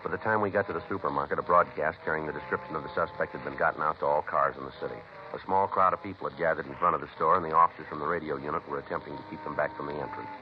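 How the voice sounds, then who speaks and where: A noisy, muffled old radio recording of an American detective sergeant. His voice is extremely low and he talks fast. He is clipped, dry and deadpan, in 1950s police drama style. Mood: terse, factual. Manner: clipped, deadpan, matter-of-fact.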